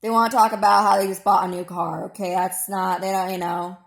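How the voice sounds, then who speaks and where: A woman of thirty-five with a hoarse voice, giving a rambling, opinionated and informal personal monologue as a vlogger. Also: mid-range voice, little pitch movement, fairly quick, echoey room.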